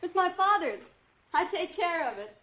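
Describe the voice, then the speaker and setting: An actress playing a gentle, earnest young woman who runs a small-town gas station, in 1940s radio style. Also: extremely high pitch, very animated, moderate pace, full character voice, poor audio.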